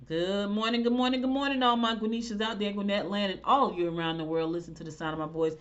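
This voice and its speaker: A woman rambles through her podcast in a lively, chatty way, talking straight to her listeners. Her nose is stuffed up, which gives her voice a congested sound. The recording sounds dull and lo-fi. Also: mid-range voice, quick pace, faint music behind. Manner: rambling, animated, talks to listeners directly. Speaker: podcast host. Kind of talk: casual chatty monologue.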